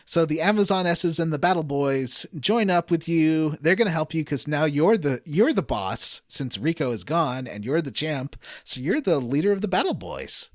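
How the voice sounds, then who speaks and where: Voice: medium pitch, big pitch swings, moderate pace, few pauses, dry recording, clean studio sound. A podcast co-host giving a casual, comedic recap of a video game story and acting out the characters' lines. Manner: acts out characters' lines in quoted voices.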